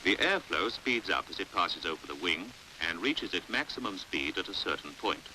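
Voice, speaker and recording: A British man reads scripted 1950s educational film narration with careful precision. His voice is fairly low yet very bright and forceful, with lively rises and falls as he explains. The old film soundtrack carries a faint hiss. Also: rough recording. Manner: measured, precise, didactic. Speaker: documentary narrator.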